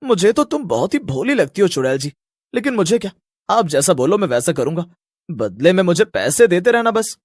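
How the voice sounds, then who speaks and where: A man's voice: high voice, big pitch swings, brisk, darkish tone, dry recording, studio quality. A man playing a bitter, brash poor young villager in a storybook tale, with a blunt, dramatic delivery. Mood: cocky.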